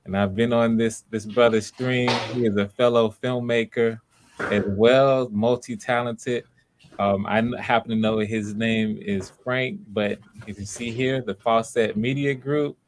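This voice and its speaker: A man's voice: medium pitch, steady tone, a little slow, slight background noise. A man hosting a live audition stream, talking casually in a rambling, conversational way. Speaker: audition host.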